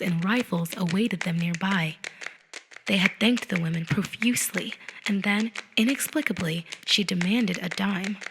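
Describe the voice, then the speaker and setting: Woman reading an audiobook dramatically over music. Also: mid-range voice. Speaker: audiobook narrator. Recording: musical effects mixed under the voice. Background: music and effects.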